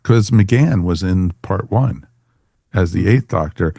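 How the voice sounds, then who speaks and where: A man's voice: low voice, expressive, takes it slowly, vocal fry, warm tone, dry recording, studio quality. A man hosting a podcast in a casual, chatty, informal way. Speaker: podcast host.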